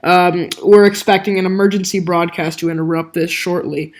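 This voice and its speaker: A woman's voice: lowish voice, steady tone, normal speed, heavy background noise, close to an everyday voice. A woman playing a local TV weather presenter, speaking earnestly as if live on air during storm coverage. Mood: concerned. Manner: earnest presenter talk with hesitations. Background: music.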